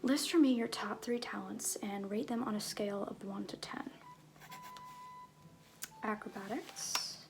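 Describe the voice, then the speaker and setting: A woman playing an easygoing, slyly flirtatious circus fool in an ASMR roleplay, talking softly and hesitantly, often trailing into whispers. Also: medium pitch, measured pace, pauses often, background noise, close to an everyday voice. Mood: businesslike, playful. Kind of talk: ASMR roleplay, improvised-sounding casual talk.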